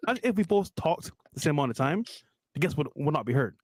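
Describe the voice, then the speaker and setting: Quick-talking man in a played podcast clip. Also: a little high, very animated. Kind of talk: podcast talk.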